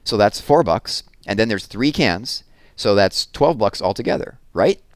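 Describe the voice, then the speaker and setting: A man's voice: lowish voice, expressive, measured pace, dry recording. A man voicing an eager, anxious eight-year-old girl in a children's storybook, with an earnest, chatty manner. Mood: confident, explaining. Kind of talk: children's storybook read-aloud.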